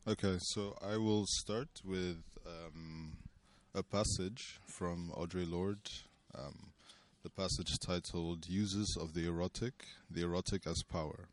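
A man from Cameroon introducing a panel talk and reading a text aloud, pausing often with ums. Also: low-pitched, steady tone. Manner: halting, with many ums. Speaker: panel speaker and reader.

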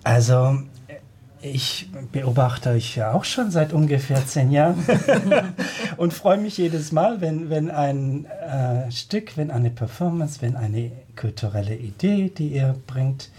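A man's voice: medium pitch, lively intonation, slow pace, warm tone, breathy edge. A man hosting a radio studio interview, talking hesitantly with many fillers. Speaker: radio host.

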